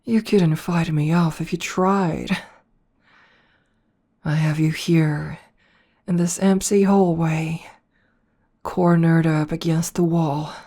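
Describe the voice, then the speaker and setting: A woman voicing a playful, predatory noble vampire princess in an ASMR roleplay, speaking softly up close and slipping into whispers. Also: deep voice, steady tone, heavily acted. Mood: teasing. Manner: soft, slow and toying, slipping into whispers.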